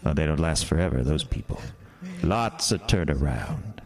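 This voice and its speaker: A man's voice: very deep voice, lively intonation, normal speed, creaky, a crowd in the background, exaggerated voice. A man playing a sinister carnival master in a horror audio drama, speaking with false comfort, theatrical condescension and cool menace. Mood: coolly gloating. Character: sinister, smooth-talking carnival master luring a boy, coolly menacing.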